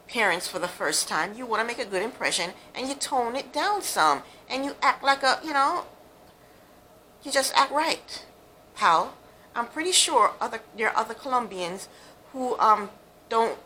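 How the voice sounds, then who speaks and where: A woman's voice: mid-range voice, sharp tone, slight background noise, rough recording. A woman from Jamaica giving a chatty, frank, opinionated recap of a reality show, with plenty of ums and asides. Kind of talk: casual monologue, opinionated recap.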